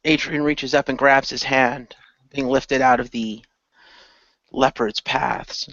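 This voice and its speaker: A man's voice: mid-range voice, steady tone, takes it slowly, fairly bright tone, no room sound, muffled recording. A man narrating improvised action in the present tense for an amateur radio play.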